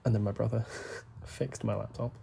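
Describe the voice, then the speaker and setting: A young man, talking softly and rambling as he plays a game, full of fillers and asides. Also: fairly low pitch, steady tone, gravelly, slight background noise. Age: young adult. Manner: soft, deliberately hushed talk with frequent fillers. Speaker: gaming YouTuber. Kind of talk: rambling gameplay commentary.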